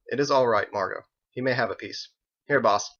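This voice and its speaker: A man reads as a composed, kindly woman in a fantasy storybook. The speech is formal and gentle at a middle pitch. The recording is slightly dull. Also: fairly quick, natural voice.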